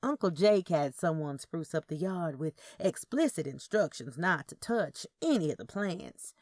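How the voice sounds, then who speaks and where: A woman's voice: low voice, very animated, a little slow, a little mellow, no room sound, studio quality. A woman narrating a first-person story, with character dialogue acted out. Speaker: narrator of audio fiction.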